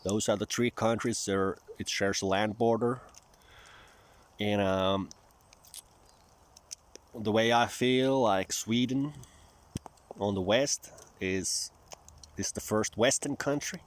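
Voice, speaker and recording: Slow talking finnish guy w southern drawl, kinda low voice, rambly sweary vlog. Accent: Southern US-tinged English. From Finland. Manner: profane, folksy, rambling. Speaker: vlogger. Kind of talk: casual rambling monologue.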